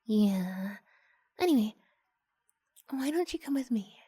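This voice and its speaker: Woman playing a breathy, coaxing young vampire girl. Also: on the high side, animated, dry recording, studio quality, exaggerated voice. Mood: apologetic, coaxing. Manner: hushed, halting, often slipping into breathy whisper. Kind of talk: ASMR roleplay, improvised.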